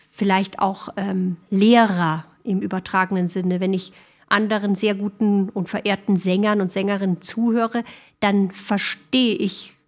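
A middle-aged woman, a singer, talking reflectively as a guest in a podcast interview. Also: medium pitch.

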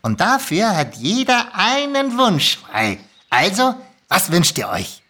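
An actor voicing a sly, easily irritated male kobold who haggles nonchalantly, in a lively storybook reading. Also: mid-range voice, slow pace, heavily acted. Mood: casual, wheeling-dealing. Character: grumpy, sly male kobold dealmaker, ancient magical creature. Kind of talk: lively audiobook character reading.

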